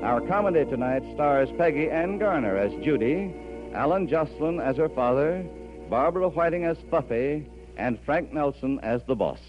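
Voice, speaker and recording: Animated vintage male radio announcer. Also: a little high, moderate pace. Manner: formal, showmanlike. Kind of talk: 1940s radio announcing, scripted introduction. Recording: muffled old radio broadcast. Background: music.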